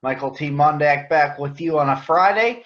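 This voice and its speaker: An American man addressing children and reading a picture book aloud in a deliberate, announcer-like way. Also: fairly high pitch, a bit bright. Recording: echoey room, slightly dull sound.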